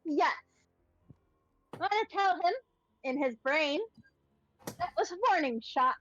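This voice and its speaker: High-voiced woman on a dull mic. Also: slow, fairly bright tone. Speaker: player in a tabletop game. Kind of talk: casual chat. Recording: dull dry mic, faint music.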